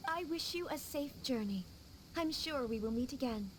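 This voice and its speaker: A woman's voice: high voice, animated, normal speed, heavy background noise. A woman playing a composed, gracious young noblewoman in a video game, speaking formally and politely. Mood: calm resolve.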